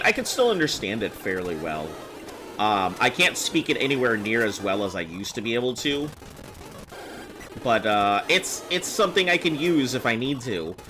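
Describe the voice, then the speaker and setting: A man's voice: medium pitch, background noise. A man in his thirties who sounds much younger, chatting casually on a game stream and rambling with fillers. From United States. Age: 30s.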